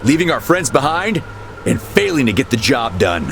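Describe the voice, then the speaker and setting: An actor playing a bold, decisive military captain in a game cutscene, with a brisk, confident, commanding delivery. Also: male voice, medium pitch, sing-song, heavy background noise. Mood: rousing. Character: bold, decisive young male army captain rallying his men. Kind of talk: video game cutscene acting.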